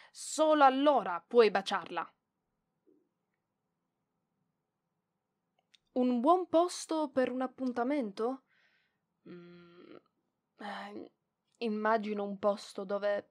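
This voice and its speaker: A woman voicing a proud, easily flustered young librarian in an ASMR roleplay, bossy but fond, with hesitant stammers. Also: on the high side. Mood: bossy, prim, then shy, hesitant. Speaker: voice actress in audio roleplay.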